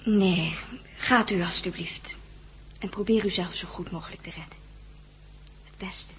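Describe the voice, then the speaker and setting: An actress playing a newly blinded nurse in a 1960s radio drama, emotional, plaintive and dutiful. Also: female voice, lowish voice, measured pace, fairly bright tone, low-quality recording. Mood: resigned, tender. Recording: 1969 radio recording, faint noise.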